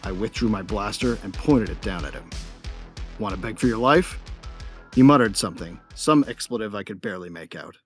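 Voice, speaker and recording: Low-voiced cocky bounty hunter narrator, acted by a man. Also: animated, a little fast, husky, faint music behind. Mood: cocky, vicious. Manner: wry, self-satisfied. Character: cocky, violent young male bounty hunter narrating his story. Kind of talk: first-person dramatic narration.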